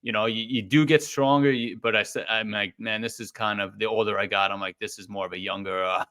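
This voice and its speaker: A middle-aged man hosts a casual podcast interview with a low but bright, forceful voice. He talks in a relaxed, rambling way. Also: a little fast. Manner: relaxed, rambling, frequent fillers and restarts. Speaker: podcast host.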